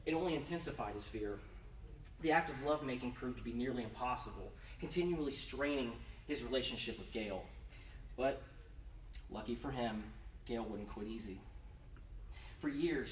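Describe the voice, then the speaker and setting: A man giving a theatrical, emphatic live reading of his own horror story as its author. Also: mid-range voice. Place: indoor convention event room. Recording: roomy, noisy live room, distant mic.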